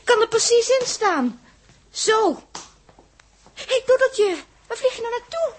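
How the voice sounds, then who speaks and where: A woman's voice: very high-pitched, measured pace, long reverb tail. An actress playing an anxious, imaginative young female space explorer in a 1960s radio play, speaking Dutch with a Netherlands accent. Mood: excited, panicked. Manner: anxious, excitable, exclaiming. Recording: noisy vintage 1960s radio recording with clicks.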